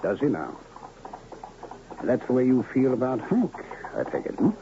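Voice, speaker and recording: A man playing a courtly, cryptic medicine man and illusionist in a radio drama, with florid, measured, theatrical speech. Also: mid-range voice, sing-song, background noise. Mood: calm, knowing. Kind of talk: 1970s radio drama acting. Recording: dull, lo-fi old radio recording.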